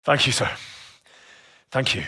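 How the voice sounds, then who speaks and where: An actor playing an eager, deferential young man in a lord's service in a medieval video game, a little husky. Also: measured pace, sharp tone. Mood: eager, earnest.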